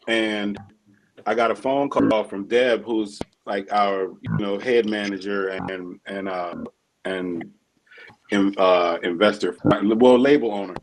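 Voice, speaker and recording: A man with a low, gravelly voice talks slowly and in a laid-back way, telling how his band formed. Light background noise sits under his voice. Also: low-quality recording. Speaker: music producer and bassist. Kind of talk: relaxed interview talk.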